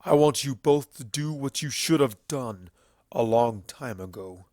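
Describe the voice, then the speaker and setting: A man voicing a bitter, grieving, proud ancient stallion in a storybook reading, forceful and declamatory. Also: medium pitch, animated, measured pace, dry recording, clean studio sound, natural voice. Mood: grim, accusing. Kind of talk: dramatic fan fiction character reading.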